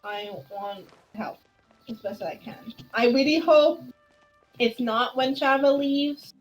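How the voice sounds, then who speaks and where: A woman streamer chatting casually and haltingly as she reacts to a game. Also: a little high, low-quality recording. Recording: game music behind the voice.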